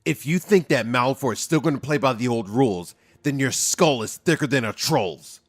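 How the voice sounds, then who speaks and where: A man playing a hot-headed, impulsive fairy guardian in a fan audio drama, arguing forcefully and emphatically. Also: mid-range voice, animated, normal speed, close to an everyday voice. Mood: angry, defiant.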